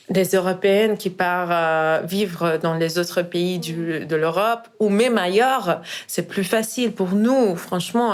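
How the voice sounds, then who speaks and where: Hesitant Persian-accented woman, slow. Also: fairly low pitch. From Iran. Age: 30s. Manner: hesitant, searching for words, many fillers. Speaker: podcast guest. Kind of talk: casual interview.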